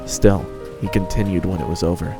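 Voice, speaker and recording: A man serves as narrator for a piece of fan fiction. His voice sits fairly low as he reads the scripted prose, and light music plays behind him. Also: fairly even intonation, brisk. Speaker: story narrator.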